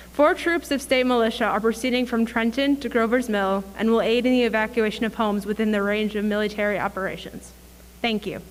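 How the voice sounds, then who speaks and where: A woman's voice: fairly high pitch, natural voice. A woman playing a stern militia general making a formal, authoritative proclamation in a radio play. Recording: reverberant hall.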